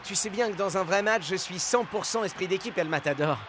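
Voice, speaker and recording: A man's voice: sits high, a little fast, music in the background, close to an everyday voice. A man voicing an eager, good-natured young soccer player in a cartoon dub. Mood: easygoing. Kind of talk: animated cartoon dubbing.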